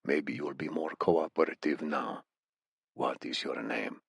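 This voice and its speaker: A man voicing a muscular, menacing Iranian interrogator in an audiobook, speaking softly with mock politeness in an Iranian accent. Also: very deep voice, fairly even intonation, gravelly, husky, dry recording, studio quality, voice pushed down, full character voice. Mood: quiet threat. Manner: soft-spoken, slow, mock-polite menace.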